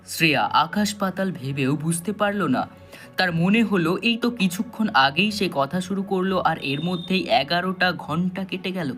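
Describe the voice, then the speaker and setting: Suspenseful male storyteller, slightly high voice. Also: moderate pace, a bit bright. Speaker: narrator. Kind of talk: dramatic scripted narration of a thriller story. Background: background music.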